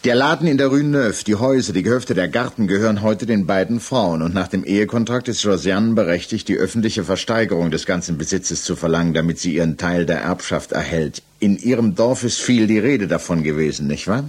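A low, husky voice of a stern magistrate, formal and insistent. He presses each question like an accusation, in the style of sixties German radio drama. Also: brisk, hardly any pauses. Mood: insistent, accusing. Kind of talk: 1960s German radio play acting.

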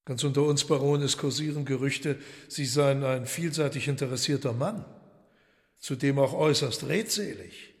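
An actor playing an urbane, menacing devil in a radio play, speaking with formal, deliberate mock courtesy. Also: male voice, mid-range voice, close to an everyday voice. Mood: silky menace. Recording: long hall reverb.

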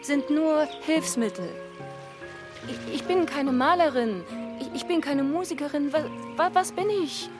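Young female dreamer, radio drama. Higher voice, headstrong yet halting, clear music underneath. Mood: searching, frustrated. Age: young adult. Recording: music behind the voice.

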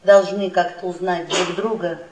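An actress playing an embittered, seductive middle-aged professor's wife, mocking and domineering in a theatrical radio-play manner. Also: female voice, on the low side, level tone, normal speed, crowd noise, lightly acted. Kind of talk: Soviet radio theatre acting. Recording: roomy echo, off the mic.

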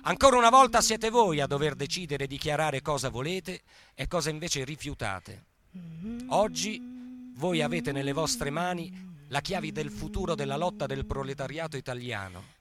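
A man playing a militant narrator, declaiming in radio theatre with rhetorical urgency and deliberate phrasing. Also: fairly high pitch, lively intonation, bright, forceful tone. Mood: stern, exhorting. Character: militant narrator proclaiming leaflets and chronicling the workers' revolt.

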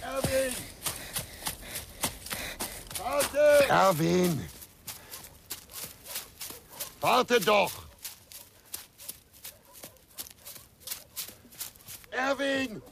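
A man's voice: extremely high pitch, lively intonation, slow pace, gravelly, heavily acted. An actor playing a brooding, insistent man in a radio crime drama, speaking in a terse, grave, intense way. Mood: urgent, calling out. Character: brooding, insistent man searching for a silent runaway boy. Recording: loud traffic noise behind.

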